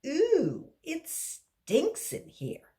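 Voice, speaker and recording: Woman as whiny, disgusted schoolkid, pitched high. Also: wildly swooping pitch, extremely slow, mellow, heavily acted. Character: disgusted, tattling young schoolchildren.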